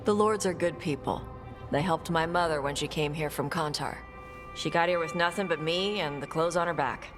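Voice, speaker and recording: A woman voicing a blunt young dragon hunter who talks in short, gruff, dismissive lines in a game cutscene. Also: sits low, normal speed, music in the background, natural voice. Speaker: treasure hunter. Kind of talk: video game cutscene dialogue.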